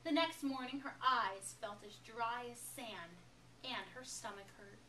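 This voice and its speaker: A woman reads a children's storybook with emphatic, dramatic phrasing. Her voice is fairly high and forceful. It sounds distant from the microphone, in an echoey room. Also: a little slow, slight background noise. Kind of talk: expressive children's picture book read-aloud.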